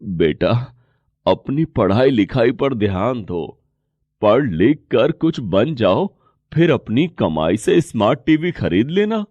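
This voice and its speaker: A man's voice: mid-range voice, sing-song, slow, a little mellow, no echo, lo-fi sound. A man voicing a poor, tired, caring rickshaw-driver father in a moral story, with an earnest, advising manner. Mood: earnest advice. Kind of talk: moral story character acting.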